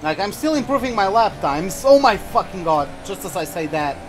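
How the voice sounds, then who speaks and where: A young man from Portugal with a high voice talks over his racing game in a loose, rambling way. Game music plays clearly behind him. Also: sing-song, moderate pace. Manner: loose, rambling, swears when startled. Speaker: gaming channel host. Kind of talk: casual commentary while racing.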